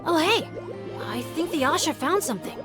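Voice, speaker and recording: Cheerful teen boy adventurer, modest and easygoing, lively bouncy pitch, slightly high voice, faint game music. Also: normal speed. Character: upbeat teenage boy adventurer, humble and unlucky. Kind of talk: video game voice acting. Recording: game audio with soft music behind.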